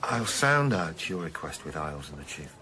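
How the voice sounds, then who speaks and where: An actor in a radio drama playing a cautious police detective, questioning and placating in a measured way. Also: male voice, extremely low pitch, sing-song, sharp tone, a little hiss or hum, exaggerated voice.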